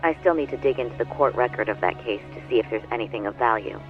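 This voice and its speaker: An earnest lawyer, played by a male actor, speaks in a measured and formal manner, laying things out clearly at a middle pitch. It sounds like a video game cutscene, with music clearly playing behind him. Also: phone-quality sound, natural voice. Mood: measured, factual. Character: earnest male lawyer briefing a detective colleague.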